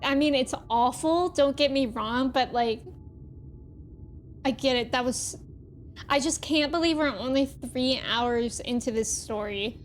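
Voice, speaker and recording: Female livestreamer, high-pitched voice, expressive casual chat and exclamatory reactions, faint music underneath. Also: a little slow. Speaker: video game livestreamer.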